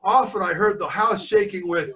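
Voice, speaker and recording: An older man reading a storybook aloud as a grandfather would, pausing for chatty asides and questions to young listeners. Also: sits high, bright, forceful tone, far from the mic. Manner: pauses to quiz the listeners.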